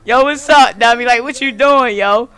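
Casting: American male game streamer, piercing high voice, forceful, repetitive street-slang trash talk. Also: moderate pace. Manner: loud, repetitive, taunting shouts. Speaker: streamer role-playing as a gang member in an online game.

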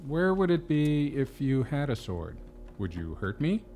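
A condescending institute doctor in an audio drama. His pitch swings expressively as he probes and questions, measured and patronizing throughout. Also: very animated, a little mellow. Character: patronizing, probing male asylum doctor.

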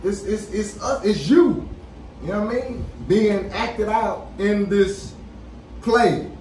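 A man's voice: sits high, takes it slowly, darkish tone, far from the mic. A middle-aged American man co-hosting a podcast, talking casually in an emphatic, preacher-like way and often checking in with listeners. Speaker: podcast co-host. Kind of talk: casual preaching-style discussion. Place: small podcast studio, indoors. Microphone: boom-arm studio microphone with headphones. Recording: echoey room, off-mic, faint noise.

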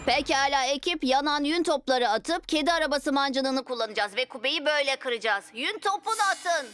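A woman's voice: sits high, lively intonation, moderate pace, over music. A woman voicing an eager, determined six-year-old boy superhero in a children's cartoon dub, earnest and declamatory. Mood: determined, confident.

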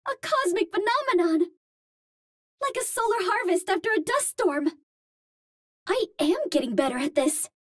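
An actress playing a cute, earnest young Martian heroine in a video game, speaking politely in precise, formal phrases. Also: female voice, extremely high pitch, expressive, moderate pace, long pauses. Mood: wondering, delighted. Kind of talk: video game character voice lines.

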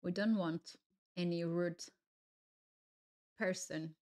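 A woman's voice: on the low side, takes it slowly. Young georgian woman chatting to her stream chat, easygoing, lots of ums, small room. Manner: relaxed, musing, many fillers and restarts. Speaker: chess streamer. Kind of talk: casual live-stream chat with viewers.

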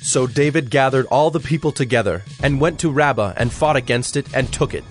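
A man narrating scripture in a measured, formal way as a scripted reading. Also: medium pitch, soft background music.

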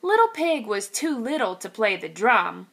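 A woman reading a storybook aloud as a teacher, speaking deliberately to young children. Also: on the high side, sing-song, fairly bright tone, breathy edge. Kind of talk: storybook read-aloud narration.

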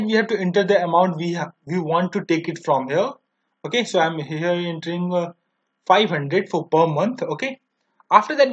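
A man in his twenties with an Indian accent, narrating a step-by-step software tutorial in a halting, repetitive way. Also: high voice. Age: 20s. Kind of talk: step-by-step screen demo narration. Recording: dull lo-fi microphone sound.

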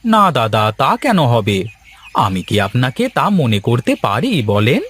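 Guy doing a humble sly village farmer for a cartoon, kinda pleading, medium pitch. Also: huge pitch swings, measured pace, fairly bright tone, slight background noise. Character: wheedling, humble village husband and farmer, crafty but pleading. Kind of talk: cartoon dialogue acting.

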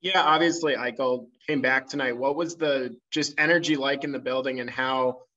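A man's voice: mid-range voice, steady tone, moderate pace, bright, forceful tone. A man, a reporter asking questions over a video call, hesitant and repeating words as he goes. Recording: muffled remote call audio.

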